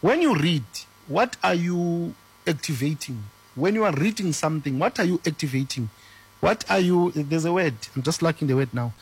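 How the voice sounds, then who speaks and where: Guy in his 30s, high husky voice, earnest, explains things with lots of rhetorical questions. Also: animated, normal speed, low-quality recording. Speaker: spiritual teacher. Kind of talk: conversational teaching.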